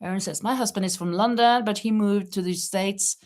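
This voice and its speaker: A woman's voice: medium pitch, fairly quick, darkish tone. A middle-aged woman hosting a casual live crochet chat, laughing often and reading viewer comments aloud. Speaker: livestream host. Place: home in Spain.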